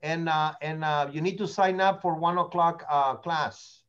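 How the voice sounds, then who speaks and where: A man's voice: fairly high pitch, fairly even intonation, measured pace, a bit bright, clear, resonant tone, lo-fi sound. An older man, not a native English speaker, running a real estate training meeting in an opinionated, rambling way, repeating words as he goes. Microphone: meeting recording.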